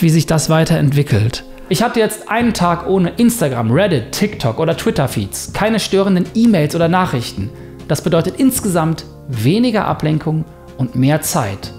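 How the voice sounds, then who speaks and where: A man in his thirties, a YouTuber and screenwriter, narrating his own self-experiment in a lively, wry documentary style. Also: fairly high pitch, gravelly.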